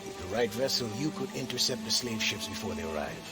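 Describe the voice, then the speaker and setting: A man's voice: on the low side, lively intonation, a little fast, natural voice. An actor playing the earnest leader of a community of freed slaves, weighing his words and dropping French Creole proverbs. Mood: resolute. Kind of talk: video game cutscene acting. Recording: game cutscene with music behind.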